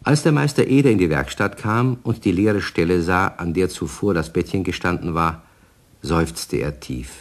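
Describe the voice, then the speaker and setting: A man's voice: deep voice, animated, moderate pace. A man narrating a German children's radio play as a scripted storyteller.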